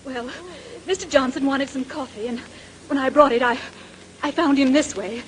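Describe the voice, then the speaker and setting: Woman playing an eager, high-voiced air stewardess. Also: fairly even intonation, normal speed, lightly acted. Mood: polite, flustered. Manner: polite, flustered, eager. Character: earnest, dutiful young female air stewardess. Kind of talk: 1930s radio drama acting. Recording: noisy vintage 1930s radio recording.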